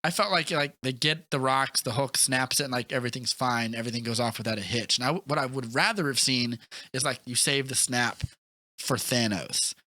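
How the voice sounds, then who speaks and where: Bright-voiced man chatting about movies. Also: medium pitch, a little fast, no echo. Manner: animated retelling with acted-out quotes, frequent "like". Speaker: podcast co-host. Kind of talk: casual movie discussion.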